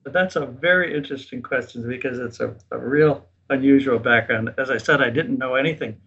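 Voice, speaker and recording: An older man in a casual interview, recounting his experiences in a relaxed, self-deprecating way. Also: mid-range voice, fairly quick, slightly rough. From United States. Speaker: guest. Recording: dull lo-fi recording.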